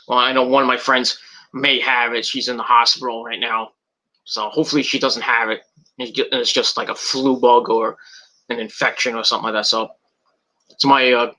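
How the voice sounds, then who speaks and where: A man's voice: mid-range voice, bright, forceful tone. A middle-aged man with a cold and a tickling cough, rambling casually in a vlog with many fillers and restarts. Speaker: wrestling video reviewer.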